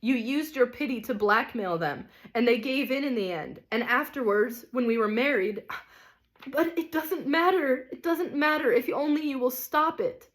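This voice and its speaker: A woman voicing a gentle, radiant heavenly woman who pleads tenderly and urgently. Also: high voice, animated, unhurried, voice pushed up. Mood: sorrowful.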